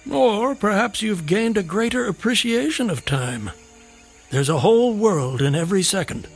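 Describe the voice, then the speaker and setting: A man's voice: high-pitched, expressive, moderate pace, vocal fry, husky, heavily acted. An actor playing an enigmatic traveling stranger, courteous, sly and philosophical as he probes with questions in an audio drama. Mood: wry, courteous. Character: enigmatic, wry, probing traveling stranger, man. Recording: music under the voice. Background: music.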